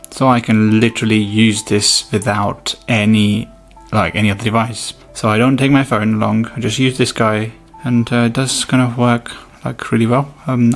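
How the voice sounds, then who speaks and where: Gravelly-voiced man giving a casual product review. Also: fairly low pitch. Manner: informal, hands-on, thinking aloud while demonstrating. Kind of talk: casual unboxing and review commentary.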